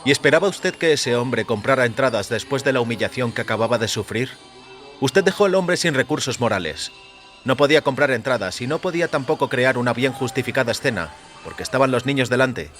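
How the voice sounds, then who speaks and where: Man acting indignant articulate man, formal, accusing, emphatic, raised pitch, audio-story dialogue, faint music. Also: expressive, a little fast, fairly bright tone, close to an everyday voice. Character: indignant, articulate dying man, morally outraged avenger. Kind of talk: dramatised short-story dialogue.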